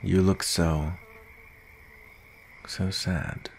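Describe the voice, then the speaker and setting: Gravelly male werewolf sellsword, acted by a man, unhurried murmur, intimate ASMR roleplay, gruff yet tender, faint ambient noise behind. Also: flat delivery, darkish tone, exaggerated voice. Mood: puzzled, softening. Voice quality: deep, gravelly. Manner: slow, deliberate, murmuring. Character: gruff male werewolf bounty hunter with a hidden soft side. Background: nature ambience.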